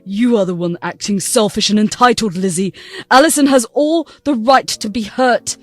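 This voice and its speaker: A woman's voice: medium pitch, lively intonation, fairly quick, no room sound, faint music behind, voice pushed down, close to an everyday voice. A woman voicing a middle-aged father who scolds his daughter sternly in defense of his wife. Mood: furious.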